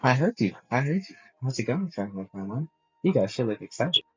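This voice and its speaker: A man voicing a clever, inquisitive young male character in a fan-dubbed anime game, brisk and matter-of-fact. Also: mid-range voice, very animated, breathy edge, soft background music, low-quality recording. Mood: brisk, knowing.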